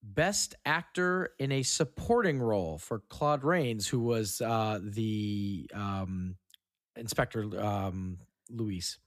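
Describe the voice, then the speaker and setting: A man's voice: on the low side, slow, dry recording, clean studio sound. A man hosting a casual, joking film discussion and reading out trivia. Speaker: podcast host reading trivia.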